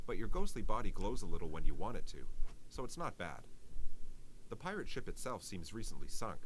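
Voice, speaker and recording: A man narrates a storybook game in a wry, theatrical way. His voice sits a little low and swoops dramatically, over faint background noise. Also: sing-song, fairly quick, fairly bright tone, low-quality recording. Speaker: narrator reading the game aloud.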